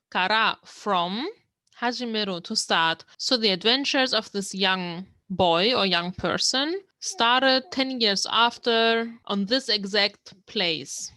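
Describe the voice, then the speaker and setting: A woman from Germany, hosting a language channel and slowly translating manga lines word by word. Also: medium pitch, bright, forceful tone, dry recording. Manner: slow, careful explanation of words. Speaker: language-learning channel host. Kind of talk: reading and translating manga line by line.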